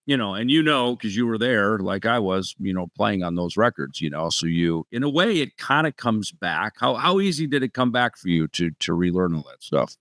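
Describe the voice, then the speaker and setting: A man's voice: lowish voice, sing-song, moderate pace, few pauses, fairly bright tone, no echo, clean studio sound. A man hosting a podcast, telling stories in a friendly, chatty interview. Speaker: podcast host. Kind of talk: casual interview chat.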